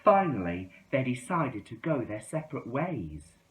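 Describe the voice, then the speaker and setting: Male children's storyteller, unhurried and highly melodic, expressive read-aloud delivery. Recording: roomy, echoing indoor sound.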